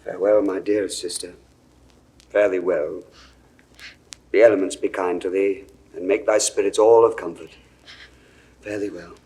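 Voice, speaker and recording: A man's voice: low-pitched, steady tone, normal speed, pauses often, dark tone, lightly acted, low-quality recording. Man playing a grave Roman ruler. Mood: tender. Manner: formal, grave. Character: stern young Roman ruler. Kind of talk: 1960s studio radio play acting.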